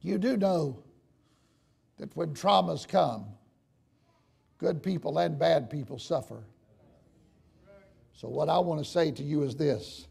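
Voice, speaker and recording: An older man with a gravelly, raspy voice, preaching a folksy, emphatic sermon to his congregation. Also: on the high side, takes it slowly, pauses often, a little mellow. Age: older, 60s or 70s. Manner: emphatic, folksy, addressing listeners directly with questions. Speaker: preacher, church bishop. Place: church sanctuary, at the pulpit.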